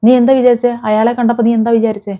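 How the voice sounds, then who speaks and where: A woman's voice: a little high, level tone, rapid-fire, warm tone, dull audio. A woman delivering an impassioned, confrontational vlog rant, scolding someone with repeated rhetorical questions. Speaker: vlogger. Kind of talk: impassioned rant addressed to an absent person.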